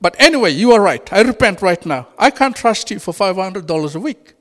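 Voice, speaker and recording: High-voiced Fijian-accented man preaching. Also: brisk. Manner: animated, laughs often, rises to exclamations. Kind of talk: informal sermon. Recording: reverberant hall.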